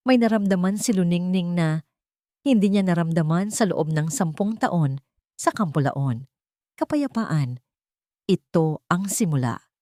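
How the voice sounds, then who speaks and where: A woman's voice: fairly low pitch, a little mellow, dry recording, clean studio sound. A woman with a Filipino accent reading a scripted dramatic story as narrator.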